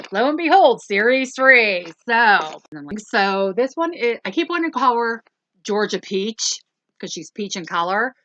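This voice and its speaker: A woman's voice: fairly low pitch, pushed and bright, dry recording. Rambling woman reviewing dolls. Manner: rambling, lots of ums and self-corrections. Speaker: toy review channel host. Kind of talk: casual chatty doll review.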